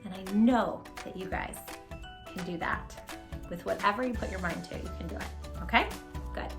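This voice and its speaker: A woman hosting a children's read-aloud, expressive and encouraging, emphasising words and chatting directly to young listeners. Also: mid-range voice, moderate pace, music in the background. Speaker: storybook reader, channel host.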